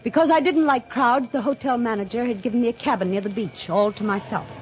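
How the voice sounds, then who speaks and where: An actress playing a restless, morbidly thrilled woman narrating her dark tale in intense, dramatic 1950s radio style. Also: fairly high pitch, lightly acted. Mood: restless unease. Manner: intense, dramatic, deliberate repetition. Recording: muffled old radio recording with music.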